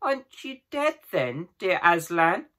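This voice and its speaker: A man voicing an emotional, excitable young girl in a storybook reading, exclaiming as she speaks. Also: fairly low pitch, takes it slowly, pitched above the performer's own voice, full character voice. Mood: amazed joy.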